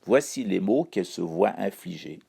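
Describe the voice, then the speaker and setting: Male columnist from Iran, dark gravelly voice, dry ironic read. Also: low voice, animated. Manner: wry, ironic, measured delivery. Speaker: chronicler. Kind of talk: read-aloud spoken column.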